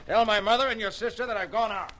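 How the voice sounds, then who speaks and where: A man's voice: sits high, fairly even intonation, fast, bright, forceful tone, a little hiss or hum. An actor playing a surly, defensive man, curt and defiant, in an old-time radio drama. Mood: defiant, irritated.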